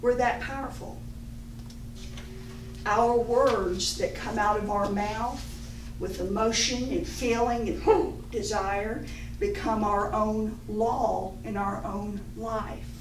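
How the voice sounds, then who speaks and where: A woman, giving an inspirational spiritual teaching in a deliberate, emphatic, repetitive way. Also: medium pitch, steady tone. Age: 50s. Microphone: distant microphone. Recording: echoey room, distant mic, clear background noise.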